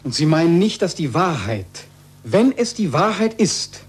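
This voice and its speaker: An actor playing an anxious, questioning learned man with a husky, earnest voice in a 1940s German radio play. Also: on the high side, sing-song, moderate pace, heavily acted. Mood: earnest, troubled.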